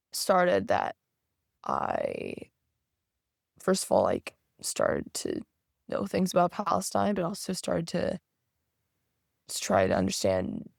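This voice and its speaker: A gravelly voice that climbs and falls a lot as the speaker picks their way through a personal story. The delivery is halting and thoughtful, with frequent fillers and restarts. It is recorded close and dry, with no room sound. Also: medium pitch, no echo. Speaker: podcast guest.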